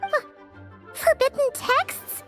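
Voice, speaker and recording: A woman voicing a small, childlike fairy companion in an anime game, squeaky, chirpy, nosy and excitable. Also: takes it slowly, music in the background. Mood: puzzled. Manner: refers to herself in the third person, exclamatory.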